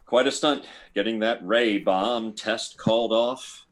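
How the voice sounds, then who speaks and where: A man acting a measured, clinical military doctor in a 1950s-style radio drama. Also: lowish voice. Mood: rueful, musing.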